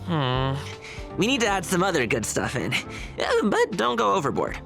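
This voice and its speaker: A playful, teasing video game character with a low voice for a woman. Her chatty delivery bounces around in pitch over game music. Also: wildly swooping pitch, moderate pace, gravelly, fairly bright tone, heavily acted.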